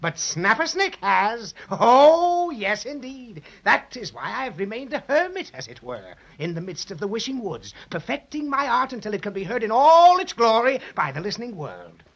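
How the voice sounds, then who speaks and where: An actor playing a vain, melodramatic crocodile hermit with pompous, flowery speech in a 1930s children's radio serial. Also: male voice, extremely high pitch, very animated, moderate pace, hardly any pauses, fairly bright tone, heavily acted. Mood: boastful. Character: vain, theatrical crooning crocodile hermit, pompous and self-adoring. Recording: dull 1930s radio recording.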